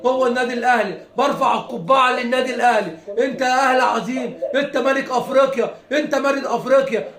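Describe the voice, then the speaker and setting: A man from Egypt with an Egyptian Arabic accent, a football fan ranting heatedly with a voice gone hoarse from shouting. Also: extremely high pitch, echoey room. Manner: heated, hoarse from shouting, laughing between lines. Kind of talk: impassioned rant.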